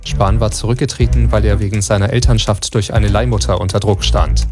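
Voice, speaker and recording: Man, newsreader, low pitch, scripted bulletin, music behind. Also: fairly even intonation, fairly quick. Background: music bed.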